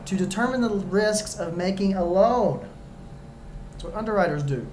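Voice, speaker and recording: Chatty guy running thru practice exam questions, slightly high voice, going hoarse, roomy echo, faint hum behind. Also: expressive, normal speed, darkish tone. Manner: chatty, talks straight to viewers, jokey asides. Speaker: exam prep tutor. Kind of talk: casual practice-exam walkthrough.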